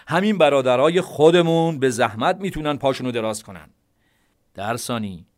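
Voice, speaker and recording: A man reading an audiobook memoir, voicing a firm, decisive male military commander who speaks in a measured, insistent way. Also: mid-range voice, voice pushed up, natural voice.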